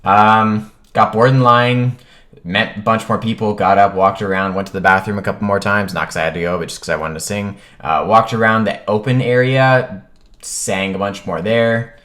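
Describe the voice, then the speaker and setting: A man with a low-pitched voice tells a personal story in a casual vlog style. He speaks informally, as if to viewers, and retells what people said in an animated way. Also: moderate pace. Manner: animated retelling, acts out quoted remarks. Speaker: vlogger telling a personal story.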